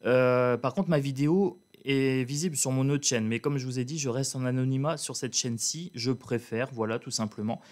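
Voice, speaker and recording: A man's voice: mid-range voice, normal speed, hardly any pauses, a little mellow. A man giving a casual, outspoken card reading to his viewers, drawling with many fillers and restarts. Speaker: card reader. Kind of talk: casual tarot reading to camera.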